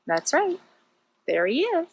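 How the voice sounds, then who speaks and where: Slow, lilting woman hosting storytime. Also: high voice, wildly swooping pitch, creaky. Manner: simple questions addressed to young viewers. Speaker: children's librarian storytime host. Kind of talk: read-aloud storytime for young children.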